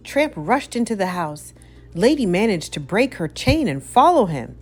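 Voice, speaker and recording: A woman narrating a children's story as an expressive read-aloud. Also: mid-range voice, moderate pace, slightly rough, soft background music.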